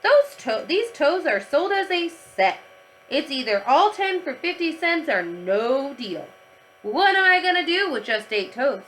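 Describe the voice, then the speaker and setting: An earnest, insistent little schoolgirl from a children's storybook, voiced by a woman reader. Her voice is high and bright, swinging widely in pitch as she argues stubbornly. Faint noise sits in the recording. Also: sing-song, unhurried, exaggerated voice, rough recording. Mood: indignant.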